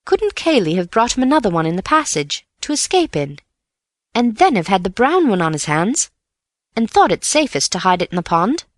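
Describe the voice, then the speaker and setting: Eager young male sidekick in an audiobook, read by a woman, high and lively. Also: no echo, studio quality, lightly acted. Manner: eager, quick to theorize. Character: eager excitable young man, amateur sleuth. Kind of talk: audiobook character voice.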